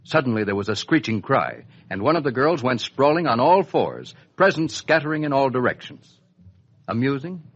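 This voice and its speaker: A man announcing and narrating a 1950s radio serial in a scripted, dramatic storytelling style with deliberate emphasis. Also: medium pitch, lively intonation, slightly rough. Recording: muffled old 1950s radio recording.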